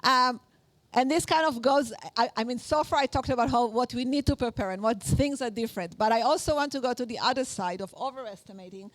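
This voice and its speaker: A woman's voice: a little high, brisk, dry recording, rough recording. Israeli-accented woman lecturing, dry sound. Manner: earnest, explanatory, frequent fillers and restarts. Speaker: workshop speaker on negotiation. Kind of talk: live workshop lecture.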